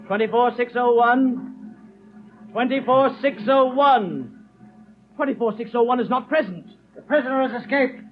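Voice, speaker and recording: Roll-call guard: stern, loud official cries pitched very high, 1930s radio drama sound. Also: male voice, soft background music, rough recording. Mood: stern, alarmed. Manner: loud, drawn-out official calling. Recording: old 1930s radio broadcast.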